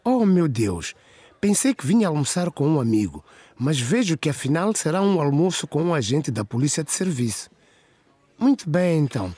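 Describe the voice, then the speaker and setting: Man voicing a higher-pitched, resigned woman politician. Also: sing-song, moderate pace, warm tone, slightly rough, no room sound. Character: weary but composed female member of parliament. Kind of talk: radio drama storytelling.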